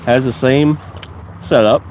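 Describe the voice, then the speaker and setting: A man's voice: medium pitch, takes it slowly, noisy background. A man with a stuffy, congested voice from a cold, chatting casually to viewers while fishing outdoors. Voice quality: stuffy, nasal. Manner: relaxed, chatty, talks to viewers while working. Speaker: fishing vlogger. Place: outdoors by the water.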